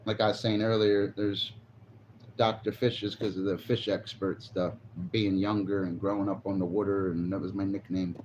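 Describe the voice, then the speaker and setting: Casual swearing forty yr old guy on a phone stream, lowish voice, dull lo-fi audio. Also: flat delivery, moderate pace, a little mellow, slight background noise. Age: 40s. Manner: rambling and chatty, swears casually. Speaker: livestreamer. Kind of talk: casual live stream chat. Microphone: phone on a selfie stick.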